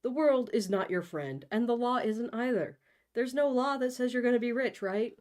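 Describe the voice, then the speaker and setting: A woman's voice: a little high, moderate pace, darkish tone, voice pushed up, close to an everyday voice. A woman voicing a dramatic, pushy young lovestruck hero in a comic storybook reading, with slangy, bossy delivery. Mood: goading. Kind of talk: comic storybook read-aloud.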